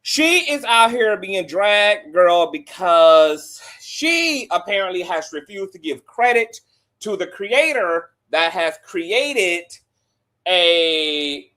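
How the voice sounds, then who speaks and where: A man's voice: high voice, sing-song, takes it slowly, bright, forceful tone. Campy, sassy male gossip host. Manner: campy, sassy, calls viewers girl and child. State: nasal and sniffly from allergies. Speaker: host of a celebrity gossip live stream. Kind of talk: casual live stream chat.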